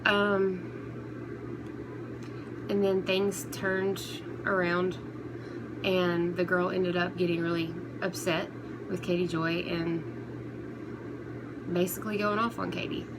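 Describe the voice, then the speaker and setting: A woman giving casual, unscripted commentary on online drama, speaking in halting phrases that trail off and restart. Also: fairly low pitch, level tone. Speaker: commentary vlogger. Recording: clearly noisy recording, background ambience.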